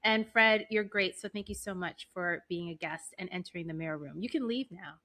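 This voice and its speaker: A woman's voice: fairly low pitch, moderate pace, crowd noise. A woman hosting a casual interview podcast, effusive and exclamatory with her guest. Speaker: podcast host.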